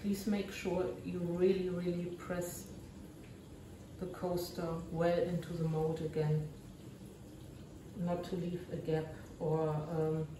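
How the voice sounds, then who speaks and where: A German woman with a German accent, narrating her resin crafting casually and often pausing to search for English words. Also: on the low side, little pitch movement, reverberant, far from the mic, background noise.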